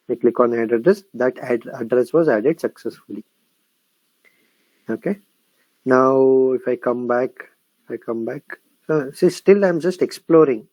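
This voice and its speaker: A man's voice: mid-range voice, darkish tone, dry recording, dull audio. Indian man walking through a tutorial. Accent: Indian English. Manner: explanatory, frequently checks in with "correct?" and "okay?"; repeats phrases while typing. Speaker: software instructor. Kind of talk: screen-recorded tutorial walkthrough.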